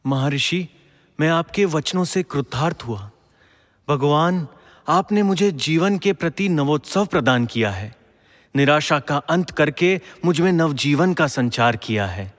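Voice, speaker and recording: A man's voice: a little high, lively intonation, a little slow. An actor playing a humble, devout young king of legend in a mythological audio drama, formal and earnest. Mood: reverent, earnest. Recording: long reverberant hall echo.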